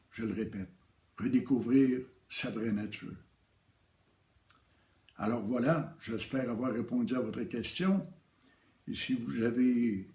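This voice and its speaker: A man giving a calm, measured spiritual teaching, repeating key phrases. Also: medium pitch. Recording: roomy echo.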